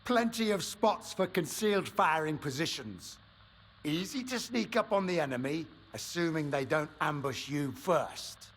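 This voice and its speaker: A man's voice: fairly high pitch, expressive, a little slow, a bit bright, heavily acted. A man playing a commanding, impatient militia commander in a video game, giving clipped, authoritative orders. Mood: briefing.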